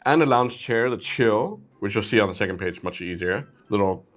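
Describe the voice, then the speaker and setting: Low-voiced man, casual reviewer. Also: animated, moderate pace. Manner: informal, playful, addressing viewers directly. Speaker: host of a brick-set review channel. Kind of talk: casual chatty product review.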